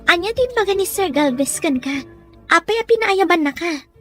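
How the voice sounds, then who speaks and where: A woman's voice: very high-pitched, lively intonation, normal speed, fairly bright tone, faint music behind. A woman playing an inquisitive, concerned young friend in an Ilocano audio drama. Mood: concerned, prying.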